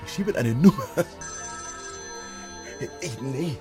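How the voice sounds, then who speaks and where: A man's voice: medium pitch, expressive, takes it slowly, music in the background. A man acting in a German radio drama as an anxious young Ghanaian man living in hiding, breathless and muttering to himself. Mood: idle, murmuring.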